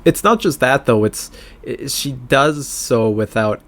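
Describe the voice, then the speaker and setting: A man's voice: mid-range voice, lively intonation, a little slow, a bit bright. A man co-hosting a casual political podcast, bantering in a sardonic, mocking manner. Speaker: podcast co-host.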